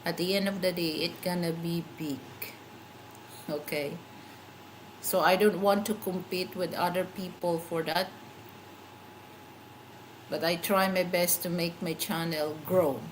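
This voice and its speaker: A woman's voice: on the low side, fairly even intonation, a little slow, long pauses, slight background noise, rough recording. A middle-aged woman with a Filipino accent, whose first language is a Philippine language, hosting her own channel in a casual, rambling livestream chat and searching for words as she goes. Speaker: channel host.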